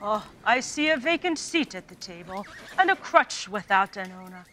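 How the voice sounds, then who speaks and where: A woman's voice: medium pitch, sing-song, normal speed, fairly bright tone, slight background noise. A woman playing a solemn Christmas ghost in a radio play, giving grave and reproachful pronouncements. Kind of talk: radio play acting of a Victorian story.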